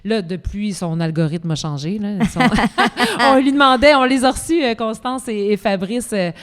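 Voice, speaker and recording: A middle-aged woman with a Quebec French accent co-hosts a relaxed talk show. She tells her stories in colloquial, everyday speech, acting out bits of dialogue as she goes. Her pitch sits in an ordinary range for a woman. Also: moderate pace. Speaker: podcast co-host. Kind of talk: casual chat before a live audience.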